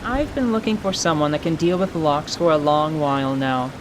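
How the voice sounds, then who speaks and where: A man's voice: on the high side, moderate pace, background noise, close to an everyday voice. A man playing a commanding, sardonic tiefling adventurer in a fantasy audio drama, with wry, florid, formal speech. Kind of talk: fantasy radio drama acting. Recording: steady rain and stream ambience behind.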